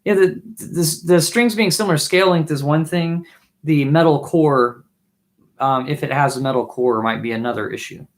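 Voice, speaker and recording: A man in his thirties, hoarse from losing his voice, chatting casually on a live stream from a small home music room, musing and restarting his sentences. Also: fairly high pitch, normal speed. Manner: musing, trailing off with restarts while playing. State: losing his voice, throat clearing. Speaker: livestream host and bassist. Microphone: webcam mic at desk distance, wears headphones.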